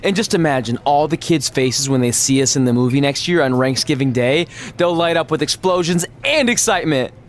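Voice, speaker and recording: A man's voice: a little high, lively intonation, a little fast, slight background noise, natural voice. A man voicing an eager, earnest young male wrestler with a boyish manner in a video game cutscene. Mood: hopeful.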